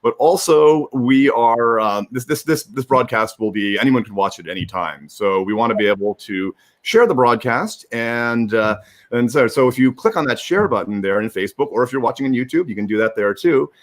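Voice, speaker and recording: A man's voice: medium pitch, moderate pace. A man co-hosting an online live stream, explaining practical details in an informal, unscripted way. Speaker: livestream co-host and producer.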